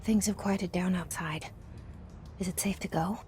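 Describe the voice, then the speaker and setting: A woman playing a wary, wry young woman's inner monologue in a video game, hushed, intimate and measured. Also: lowish voice, fairly even intonation, slight background noise, close to an everyday voice. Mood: hushed, wondering. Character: wary, dryly wry young woman thinking to herself, new agency director.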